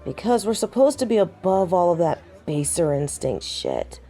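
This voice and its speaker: Actress as centuries-old female werewolf, lowish voice, jaded, measured, brooding, audio roleplay drama, music underneath. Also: sing-song. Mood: grim, defensive. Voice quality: low, dark and mellow.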